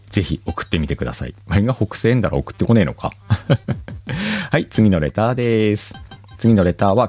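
A man hosting his own show, reading listener letters in a relaxed, chatty way, laughing often and stumbling over words. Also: deep voice, husky. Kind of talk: casual solo chat.

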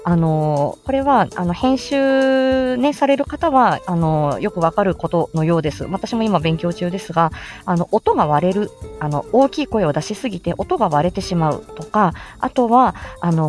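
A woman's voice: lowish voice, very animated, moderate pace, hardly any pauses, a little mellow, soft background music. A woman hosting a how-to audio show, explaining things step by step in casual, polite, unscripted talk with an encouraging manner. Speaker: host of a how-to audio show for amateur voice actors.

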